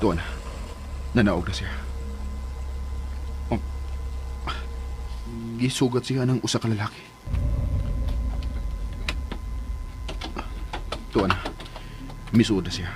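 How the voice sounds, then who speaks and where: A man's voice: fairly low pitch, unhurried, pauses often, noisy background, close to an everyday voice. Actor as watchful, inquisitive Filipino man. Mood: watchful, suspicious. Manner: watchful, self-narrating, inquisitive. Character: suspicious man secretly tailing a woman, inquisitive, furtive. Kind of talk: Philippine radio drama acting with spoken inner thoughts.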